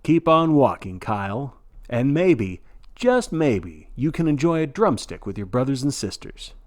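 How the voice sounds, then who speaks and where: Man playing a folksy, animated sports broadcaster. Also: mid-range voice, measured pace. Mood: blithe, smooth. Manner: folksy, showy, blithely cheerful about grim events. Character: jovial male sports broadcaster of a dystopian death march. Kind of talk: play-by-play sports commentary.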